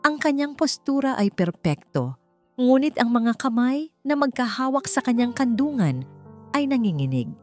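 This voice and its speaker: A woman with a Filipino accent narrating a dramatic story as an audiobook, in a measured, suspenseful way. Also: a little high, very animated, a little mellow. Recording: voice over background music.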